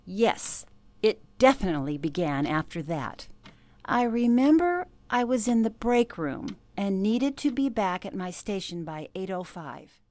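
A woman's voice: mid-range voice, very animated, measured pace, darkish tone, dry recording. An actress playing an older former switchboard operator who recalls her youth with emphasis, in a radio play interview. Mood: reflective.

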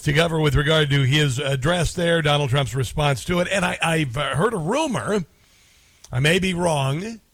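Sarcastic rambling radio host. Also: male voice, on the high side, moderate pace, a bit bright, no room sound. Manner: rambling, sarcastic, many "uh" fillers. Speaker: radio talk show host. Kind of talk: casual talk radio monologue.

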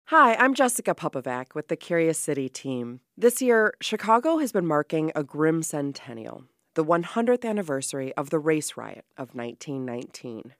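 A woman's voice: low-pitched, sing-song, normal speed, few pauses, no echo. A woman hosting a radio show, reading a scripted introduction close to a studio mic.

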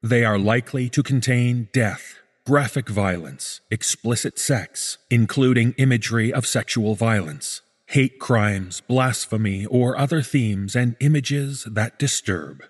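A man reading scripted narration as a podcast host, in a measured, deliberate way. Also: mid-range voice. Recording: music under the voice. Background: background music.

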